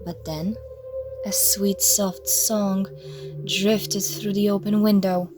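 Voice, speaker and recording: Woman, children's storybook narration, slow pace, expressive measured delivery, music clearly behind. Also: mid-range voice. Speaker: storybook reader.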